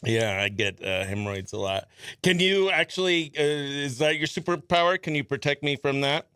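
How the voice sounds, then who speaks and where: Bright, high-voiced man hosting morning radio. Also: moderate pace, clean tone, dry recording, clean studio sound. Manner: excitable, chatty, playful. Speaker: morning radio show host. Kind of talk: lively radio host banter and giveaway patter.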